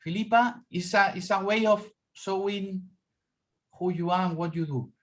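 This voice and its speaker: Man, meeting moderator, video call, halting, repeats words. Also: high-pitched, fairly bright tone. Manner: halting, repeats words while calling on participants.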